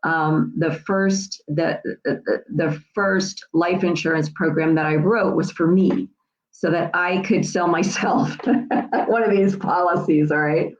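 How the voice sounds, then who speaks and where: A woman's voice: fairly low pitch, fairly even intonation, unhurried. Chatty woman in her sixties, echoey. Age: 60s. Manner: chatty, repeats herself, checks in with "all right?" and "okay?". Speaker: host. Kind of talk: casual explanatory livestream talk. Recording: echoey room, dull lo-fi sound.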